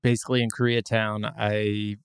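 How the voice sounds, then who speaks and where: A man hosting a podcast, chatting casually and telling stories with a wry, relaxed manner. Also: on the low side, moderate pace, clear, resonant tone, no echo, studio quality. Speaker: podcast host. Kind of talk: casual chat.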